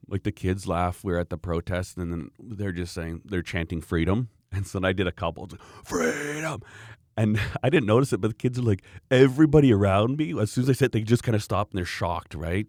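Deep-voiced man chatting excitedly. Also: no room sound, studio quality. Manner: animated, rambling storyteller, frequent laughs. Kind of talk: casual chat.

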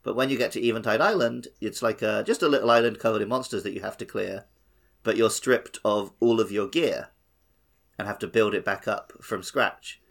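A man's voice: fairly low pitch, moderate pace, vocal fry. A man chatting casually as co-host of a podcast. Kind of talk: casual chat.

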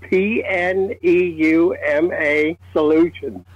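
A man giving a casual update on a club call, easygoing and rambling, with hesitant pauses. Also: on the high side, steady tone, dry recording. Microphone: remote call connection. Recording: muffled, dull call audio.

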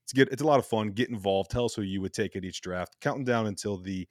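A man's voice: lowish voice, quick pace, dry recording, clean studio sound. Upbeat thirty-something man hosting a podcast. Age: 30s. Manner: upbeat, joking, swears casually. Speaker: podcast co-host. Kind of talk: casual sports podcast chat.

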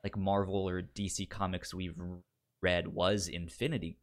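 Man, lower-pitched voice, stutter on word starts, repeated syllables, halting delivery, casual podcast co-host. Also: little pitch movement.

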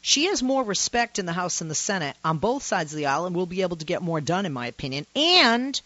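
A woman with a low voice for a woman hosts a call-in talk radio show, talking in a passionate, emphatic way. Her pitch swings a lot as she speaks. The sound is dry and a little muffled, like a radio broadcast. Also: big pitch swings, quick pace, a bit bright, no echo. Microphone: studio microphone.

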